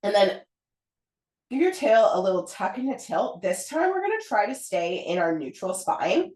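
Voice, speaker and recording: A pilates instructor who is getting over a cold and sounds hoarse, calmly talking a class through each move in an encouraging way. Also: female voice, mid-range voice, moderate pace. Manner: calm, encouraging step-by-step cueing. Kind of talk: guided pilates class cues. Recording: roomy echo.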